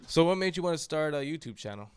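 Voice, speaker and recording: A man hosting a casual interview show, asking relaxed questions and laughing easily. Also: on the high side. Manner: laid-back, laughs often, quick questions. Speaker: host.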